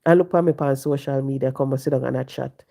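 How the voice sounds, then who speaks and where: A woman, hoarse and congested from the flu, talking on a casual live stream, preaching and repeating her points to viewers from home. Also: a bass voice, steady tone, quick pace, mellow. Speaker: livestream host. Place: home, sitting.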